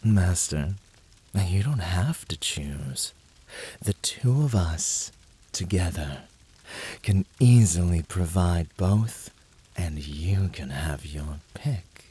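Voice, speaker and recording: A man voicing a fiery, playful, devoted incubus in a flirty ASMR roleplay, with a breathy voice and a teasing, sultry, drawn-out and often whispery delivery. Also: low voice, animated, mellow, full character voice.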